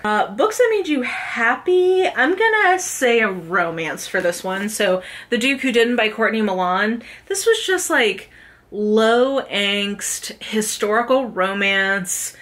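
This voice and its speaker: A woman with a bright, crisp-edged voice at an average pitch chats casually to her viewers as a vlog host. Her phrasing is informal and slangy, like someone reviewing favorites for regular followers. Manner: informal and chatty, slangy, peppered with "like". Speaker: book vlogger, host. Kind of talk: casual chat to camera, book review.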